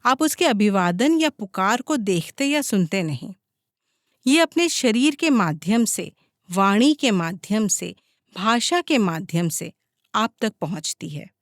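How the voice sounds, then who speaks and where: A woman's voice: medium pitch, expressive, unhurried, dry recording, studio quality. A woman reading a scripted audiobook as its narrator.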